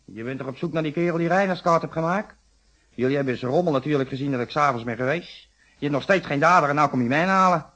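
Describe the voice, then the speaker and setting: Defiant grocer, highish rough voice, blunt, sarcastic, informal Netherlands Dutch, radio-play delivery. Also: male voice, fast talker, a bit bright. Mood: sarcastic, challenging. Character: cheeky, sarcastic, defiant male grocer under suspicion.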